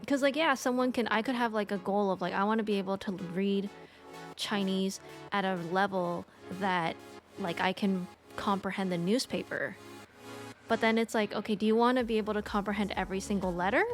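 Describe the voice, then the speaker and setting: A woman's voice: medium pitch, fairly even intonation, fairly quick, soft background music. A woman from the United States chatting casually on a stream, rambling with many fillers and restarts. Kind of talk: casual chat.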